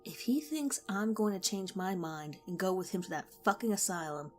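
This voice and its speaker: A woman's voice: medium pitch, lively intonation, moderate pace, darkish tone, faint music behind, lightly acted. A woman voicing a blunt young woman in a horror story reading, talking in a scornful way. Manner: murmured, scornful.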